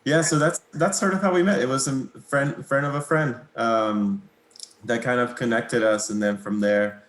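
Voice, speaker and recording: A young man from Mexico whose first language is Spanish, answering interview questions in a relaxed, hesitant way with many pauses and small laughs. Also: mid-range voice, echoey room. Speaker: guitarist, interview guest.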